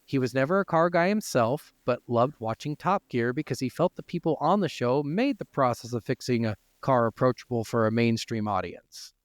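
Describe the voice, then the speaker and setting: A man's voice: medium pitch, lively intonation, normal speed, hardly any pauses, no echo. A man hosting a casual gaming podcast, moving between informal, sweary chat and reading from notes. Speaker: podcast host.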